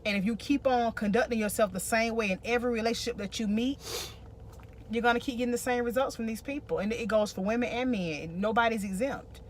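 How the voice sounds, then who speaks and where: A woman's voice: fairly high pitch, fast, a little hiss or hum. An American woman co-hosting a relationship vlog, giving casual advice and repeating phrases for emphasis.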